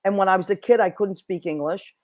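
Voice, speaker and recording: A woman's voice: mid-range voice, brisk, a little mellow. Bubbly New woman hosting. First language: Italian. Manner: bubbly, effusive. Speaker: host. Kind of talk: casual interview chat.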